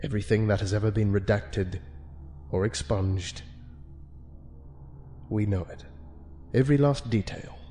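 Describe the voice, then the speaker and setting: A man playing a calm, menacing senior official of a secret committee, speaking in a measured, condescending, authoritative way in a dramatic audio-log monologue. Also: on the low side, close to an everyday voice. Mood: grave, intense.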